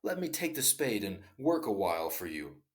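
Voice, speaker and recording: A man's voice: low voice, sing-song, moderate pace. A man reading as an earnest, questioning king.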